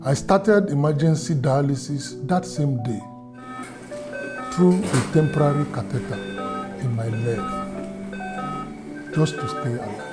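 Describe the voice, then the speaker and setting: Man from Nigeria, slow halting testimony, earnest, mid pitch, music playing underneath. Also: animated. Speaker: fundraiser subject. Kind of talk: personal testimony.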